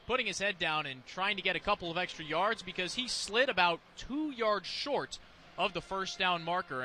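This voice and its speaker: High, sharp-voiced American male football analyst. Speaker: color commentator.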